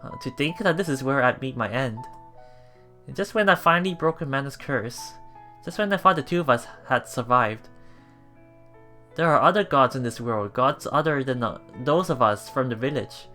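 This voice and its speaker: A let's player reading a visual novel aloud in a halting way, with emotionally invested asides. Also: mid-range voice, animated, sharp tone. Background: game music.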